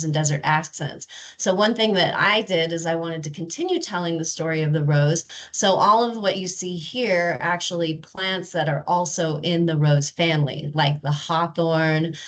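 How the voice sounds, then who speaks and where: Lower-voiced woman giving a garden talk. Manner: relaxed, informative, frequent "um" fillers. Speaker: rose garden presenter. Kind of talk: webinar presentation.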